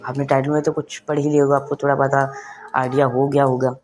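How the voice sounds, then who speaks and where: A man's voice: mid-range voice, flat delivery, quick pace, darkish tone. Young man presenting over music. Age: young adult. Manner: mixes English words and phrases into talk. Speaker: tech video presenter. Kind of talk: casual spoken tutorial for online viewers. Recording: music behind the voice. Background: background music.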